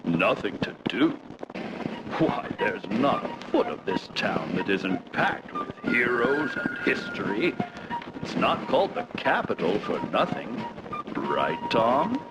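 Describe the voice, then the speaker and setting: A man's voice: medium pitch, huge pitch swings, takes it slowly, over loud music, low-quality recording. An actor playing a proud old-time statesman in a theatrical promotional skit, hearty and grandly enthusiastic.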